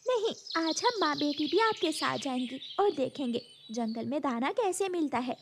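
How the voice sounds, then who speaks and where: A woman's voice: high-pitched, expressive, moderate pace, a little hiss or hum. A woman voicing a caring mother bird, a concerned wife and mama in a cartoon. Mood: insistent.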